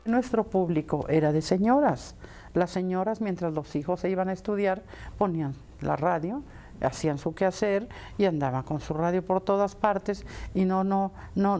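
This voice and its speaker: A woman's voice: medium pitch, moderate pace, warm tone. A woman recalling radio audiences conversationally in an interview. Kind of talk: conversational reminiscence.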